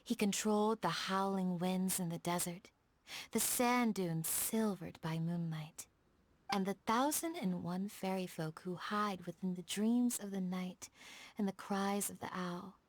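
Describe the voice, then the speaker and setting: A woman voicing a gentle, dutiful young desert village guardian in a video game, speaking in a soft, measured, courteous way. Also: mid-range voice, close to an everyday voice. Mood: solemn storytelling. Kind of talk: scripted game dialogue, storytelling.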